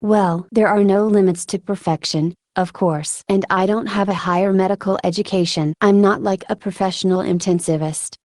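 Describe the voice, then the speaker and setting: A woman giving reflective interview answers in a halting, thoughtful way with frequent filler words. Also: mid-range voice, steady tone, darkish tone, no room sound, studio quality. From Ukraine. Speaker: military combat medic being interviewed.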